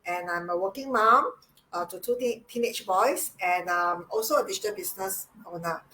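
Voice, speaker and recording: A woman from Singapore co-hosting a casual live stream, upbeat and emphatic with halting restarts. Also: medium pitch, sharp tone, faint music behind, poor audio. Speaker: co-host.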